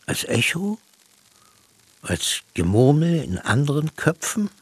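An actor playing a pensive, cerebral man in a literary radio play monologue, speaking in a measured, reflective manner. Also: fairly high pitch, very animated, slightly rough, no echo, exaggerated voice. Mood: pensive, questioning. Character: pensive man musing on mind, matter and dreams.

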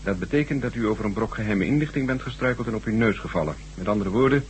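An actor playing an obliging, principled chief computer programmer in a radio drama, measured, courteous and confiding. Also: male voice, lowish voice, lightly acted. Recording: noisy, humming old radio recording.

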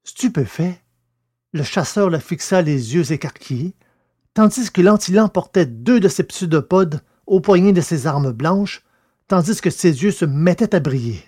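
Measured male narrator of a serial. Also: fairly high pitch, lively intonation, a little mellow. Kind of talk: scripted narration of a science-fiction serial.

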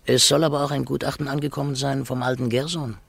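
An actor playing a scholarly court official with a gravelly, husky voice, measured and dry. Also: male voice, medium pitch, level tone, no room sound. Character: scholarly court official gossiping about the trial. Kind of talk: radio play acting.

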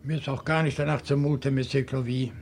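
An actor playing a courteous, bookish professor in a German radio crime play, speaking precisely and deliberately. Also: male voice, medium pitch, steady tone, over music, close to an everyday voice. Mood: earnest, reflective. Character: courteous, bookish professor, a night owl with a dog.

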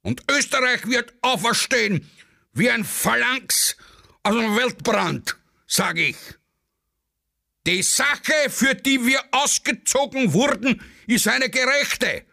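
A man's voice: very high voice, lively intonation, slow, gravelly, bright, forceful tone, full character voice. A man playing a muddled, pompous patriot in a radio play, declaiming a rabble-rousing speech with a Viennese accent. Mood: bombastic, fervent. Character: Viennese male street orator, bombastic muddled patriot.

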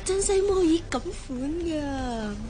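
A young Hong Kong office gossip in a radio drama. Her fairly high voice is chatty and exclamatory, stretching words out, with music clearly playing behind. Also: lively intonation, takes it slowly. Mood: coy. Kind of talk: Cantonese radio drama acting.

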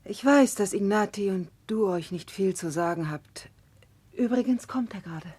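Actress as husky, genteel, reproachful sister. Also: female voice, mid-range voice, normal speed, a little mellow, close to an everyday voice. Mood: firm. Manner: genteel, admonishing. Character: concerned, reproachful married sister of a nobleman, genteel. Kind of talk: 1960s German radio drama acting. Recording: 1960s radio drama recording.

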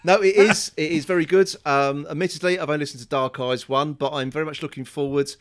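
A man's voice: fairly high pitch, steady tone, quick pace. A man co-hosting a podcast, friendly and a little hesitant, laughing easily. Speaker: podcast co-host. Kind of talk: casual podcast chat.

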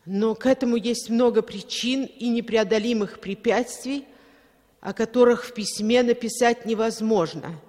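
An actor playing a homesick exiled son, reading a letter in a halting, plaintive way, echoing as in a 1960s radio play. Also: male voice, very high voice, darkish tone. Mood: sorrowful, yearning. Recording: long echoing reverb.

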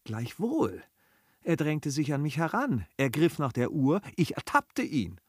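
An actor playing a polite, suspicious gentleman in a radio play, measured and articulate, with insinuating questions. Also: fairly high pitch, big pitch swings, slightly rough, no room sound, clean studio sound, full character voice. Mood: pondering. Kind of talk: German radio play acting of classic comedy.

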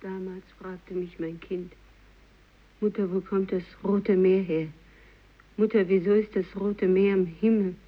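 Eine Schauspielerin spielt in einem literarischen Hörspiel eine gequälte Mutter, die um ihr Kind trauert. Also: female voice, medium pitch, little pitch movement, a little slow, lightly acted. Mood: haunted. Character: haunted mother recalling her dead child's questions. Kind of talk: 1950s literary radio play acting. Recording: dull, lo-fi radio recording.

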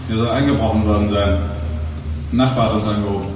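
An actor playing a brisk, formal policeman in a radio crime drama, speaking in a clipped, official way. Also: lowish voice, fairly even intonation, darkish tone, close to an everyday voice. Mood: formal, inquiring. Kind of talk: 1980s radio drama acting. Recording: echoey, distant, faintly noisy radio scene.

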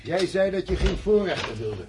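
Tired grumpy husband in a radio play, curt and sarcastic, voice jumps around a lot, bit of noise. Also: male voice, sits high, sing-song, normal speed. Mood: sardonic, irritated. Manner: curt, snapping, sardonic. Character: exhausted, irritable husband fleeing on foot, curt and scornful. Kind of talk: radio drama acting. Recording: noisy radio play recording.

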